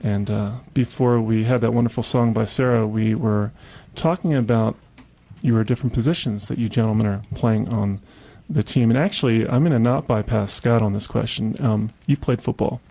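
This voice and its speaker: A man's voice: fairly low pitch, normal speed, creaky, mellow. A man hosting a casual radio interview in a chatty, encouraging manner. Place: radio studio.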